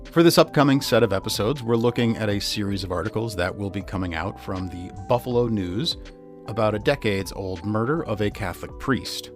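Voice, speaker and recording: A man reading scripted true crime podcast narration as host, in a measured, emphatic way. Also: on the low side. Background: music.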